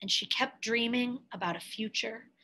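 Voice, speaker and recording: A woman reading a picture book aloud expressively, in a measured way with dramatic pauses and emphasis. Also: fairly high pitch, bright, forceful tone, a little hiss or hum, poor audio. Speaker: read-aloud storyteller, teacher.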